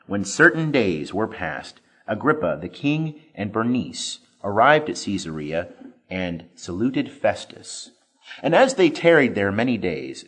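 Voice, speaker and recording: A man reading scripture aloud as a scripted narration, measured and clearly enunciated, with dramatic emphasis. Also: medium pitch, fairly bright tone. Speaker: Bible reader.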